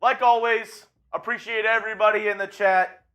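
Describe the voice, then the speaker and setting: Bright-voiced young man co-hosting. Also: high-pitched, steady tone, normal speed, highs cut off. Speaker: co-host. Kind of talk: casual chat.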